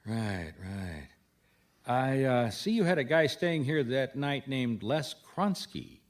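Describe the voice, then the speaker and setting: A man playing a wry, persistent private detective in a radio mystery, talking in measured, dry wisecracks. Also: medium pitch, sing-song. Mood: dry, probing.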